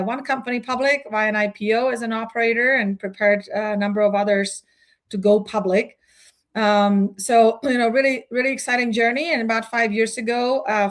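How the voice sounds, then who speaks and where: Guest CEO, a woman from the Czech Republic in her forties: thoughtful, halting delivery, middle pitch. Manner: thoughtful, many fillers and restarts. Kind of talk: conversational business interview.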